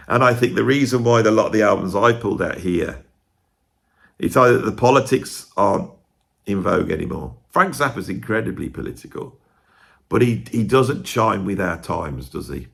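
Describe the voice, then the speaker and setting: Tired, gravelly-voiced man rambling. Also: low-pitched, lively intonation, normal speed, long pauses, a bit bright. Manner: rambling, thinking aloud with fillers and restarts. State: tired and croaky. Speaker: music video host. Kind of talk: casual monologue to camera.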